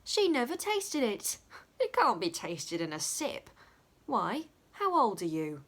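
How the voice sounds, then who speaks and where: A woman voicing a jaunty, kindly English gentleman in a storybook, with a breezy, declamatory manner. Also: on the high side, huge pitch swings, takes it slowly, pitched above the performer's own voice, heavily acted. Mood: astonished.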